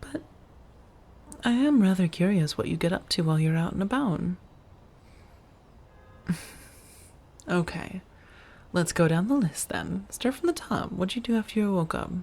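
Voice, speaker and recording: A woman acting as an affectionate female vampire roommate in an ASMR roleplay. Her voice is low and husky, with soft breath around the words. She speaks intimately to one listener, teasing gently and looking after them. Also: lively intonation. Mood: curious, teasing. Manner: gently teasing, drawn-out phrasing, soft chuckles.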